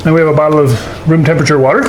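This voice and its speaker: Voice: mid-range voice, fairly even intonation, rapid-fire, background noise. A folksy, jokey host casually talking through a baking demonstration to camera. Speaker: host of a paranormal show doing a baking segment.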